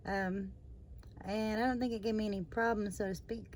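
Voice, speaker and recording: Slightly hoarse woman chatting about candles. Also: medium pitch, steady tone, normal speed, darkish tone, slight background noise. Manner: rambling, chatty, with fillers and trailing asides. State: slightly hoarse after surgery. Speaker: candle review channel host. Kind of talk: casual product review vlog.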